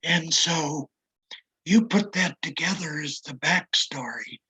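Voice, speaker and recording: Older man with a raspy, damaged voice. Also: a little high, sharp tone, rough recording. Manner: slow, reflective, self-deprecating. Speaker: interview guest. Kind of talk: casual conversation.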